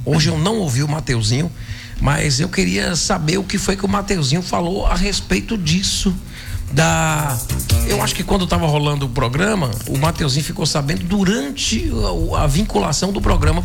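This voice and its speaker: A Brazilian man hosts an evening radio program in a hoarse, gravelly voice that sits a little high. He talks casually and opinionatedly, tossing in "né" again and again, and a music bed runs under his voice. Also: expressive, brisk. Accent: Brazilian Portuguese. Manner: chatty, opinionated, says "né" often. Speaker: radio host. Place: radio studio.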